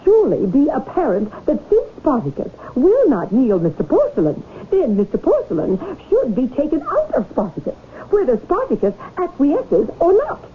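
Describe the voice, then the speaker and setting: An actress playing an earnest, anxious wife, pleading articulately and insistently in a 1970s radio drama. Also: female voice, sits high, wildly swooping pitch, normal speed, hardly any pauses, a little hiss or hum. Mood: reasoning, insistent. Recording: tinny 1970s radio broadcast.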